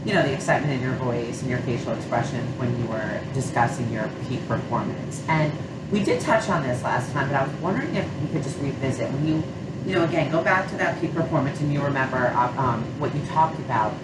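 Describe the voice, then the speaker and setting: Nasal low-voiced woman with a cold. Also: fairly quick. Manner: gentle, hesitant, many fillers like you know and um. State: has a cold, a little nasal. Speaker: sport psychology consultant. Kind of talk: counseling session. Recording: echoey room, far mic, loud hum.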